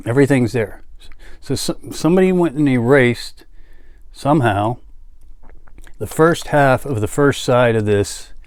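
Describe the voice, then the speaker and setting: A man talks through his collection at a slow, unhurried pace. His delivery is casual and halting, drifting into small asides, with a mid-range pitch. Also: darkish tone, dry recording. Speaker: music collector and vlogger. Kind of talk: casual show-and-tell chat.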